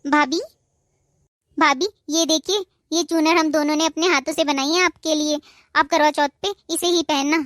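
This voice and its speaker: A woman voicing cheerful young sisters-in-law in a cartoon. The voice is bright and high, eager and affectionate, recorded close and dry. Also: fairly even intonation, normal speed, no echo.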